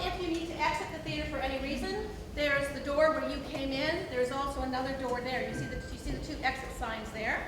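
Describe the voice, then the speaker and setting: A high-voiced woman speaks as a theatre director, welcoming an audience informally. Her voice echoes heavily through the room and is picked up from a distance, with clear noise behind it. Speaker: theatre director introducing a performance. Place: indoor theatre, distant microphone. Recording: echoey theatre from far off, noisy.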